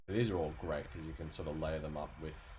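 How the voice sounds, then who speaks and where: A man's voice: very deep voice, fairly even intonation, a little fast. A man in his thirties chatting casually and thinking aloud as he streams his work as a sound designer. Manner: rambling, informal, thinking aloud. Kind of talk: casual livestream chat while working. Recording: noisy home stream mic, background noise.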